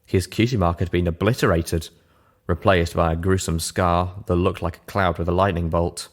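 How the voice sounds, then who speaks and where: Casting: male audiobook narrator, very low-pitched, scripted first-person storytelling. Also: fairly even intonation, moderate pace.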